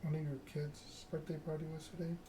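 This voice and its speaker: Noisy bg, guy doing soft asmr game stream, pretty flat pitch, casual. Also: fairly quick. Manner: reads narrative text aloud, chats with viewers.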